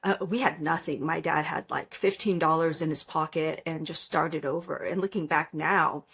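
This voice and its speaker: A woman in her fifties, originally from Vietnam with Vietnamese as her first language, talks about her life as a podcast guest. Her voice is low and a little husky, and she tells her story in a calm, reflective way. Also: moderate pace. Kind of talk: casual interview chat.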